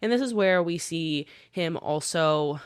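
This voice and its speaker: A woman's voice: low voice, unhurried, smooth, dry recording, clean studio sound. Woman co-host recapping a book. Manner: engaged, recaps in a steady explaining way with fillers. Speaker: podcast co-host. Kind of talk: casual podcast chat and book recap.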